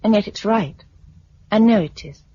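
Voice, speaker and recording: Actress doing a resolute young british lady in an old radio play, bit husky, muffled recording. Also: mid-range voice, normal speed, mellow, dry recording, close to an everyday voice. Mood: earnest, resolute. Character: Gisela, pragmatic, resolute young sighted woman in love. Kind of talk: 1950s British radio drama acting.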